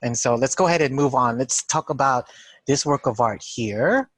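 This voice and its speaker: A man with a Filipino accent hosting a relaxed art history lesson, asking his guest questions and laughing easily. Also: mid-range voice, moderate pace, dry recording. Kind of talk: casual classroom discussion.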